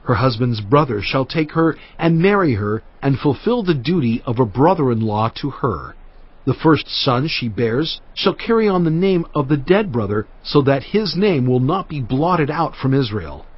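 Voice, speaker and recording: A man narrating scripture in a solemn, measured and emphatic dramatized reading. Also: mid-range voice, expressive, husky. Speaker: Bible narrator. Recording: dull audio with music bed.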